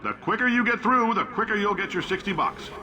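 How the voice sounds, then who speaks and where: A man playing a brash, boastful male company boss who talks in loud, blunt salesman bluster over recorded announcements. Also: high voice, fairly quick, lightly acted. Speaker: game character. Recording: old announcement recording with audible noise.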